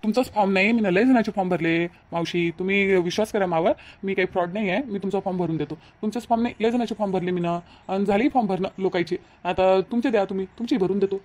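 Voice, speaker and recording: A woman plays a sly young man out to swindle villagers in a cartoon story. The mid-range voice rattles along very fast. It has a rural Vidarbha accent and keeps up pushy, falsely reassuring patter. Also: medium pitch, a little mellow, lightly acted. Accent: rural Vidarbha Marathi. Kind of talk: Marathi cartoon moral-story dialogue.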